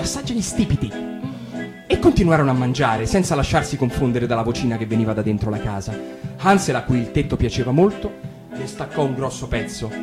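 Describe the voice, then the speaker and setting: A man reads a fairy tale for radio with a lively, varied delivery, sinking low and hushed for the dark passages. Gentle plucked guitar plays under his voice throughout. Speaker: narrator. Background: guitar music.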